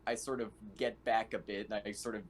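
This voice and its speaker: A man playing a tabletop roleplaying game, chatting casually and thinking out loud in a tentative way. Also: fairly low pitch, little pitch movement, a little fast, a little hiss or hum.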